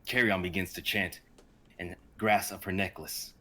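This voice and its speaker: A man's voice: low-pitched, steady tone, normal speed, fairly bright tone. A young man giving a dramatic narration of an amateur script. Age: young adult. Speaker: narrator, stream performer.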